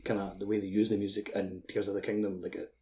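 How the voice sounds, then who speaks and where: A man with a fairly low voice co-hosts a casual gaming podcast. His intonation stays flat and level as he chats informally. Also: quick pace. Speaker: podcast co-host. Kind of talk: casual discussion of video games.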